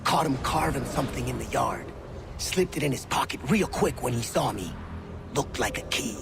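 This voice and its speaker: An actor playing a sneering, gossipy prison inmate in a video game, talking in coarse, mocking slang. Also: male voice, mid-range voice, lively intonation, moderate pace, creaky, over music. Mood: conspiratorial.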